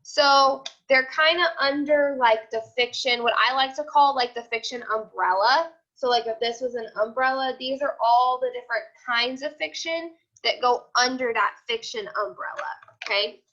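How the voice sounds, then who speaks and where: Female elementary teacher, high-pitched, explanatory and repetitive, on a muffled video call. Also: normal speed, sharp tone. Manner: explanatory, repetitive, checking understanding often. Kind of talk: informal online class lesson to children. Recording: dull, lo-fi video call audio.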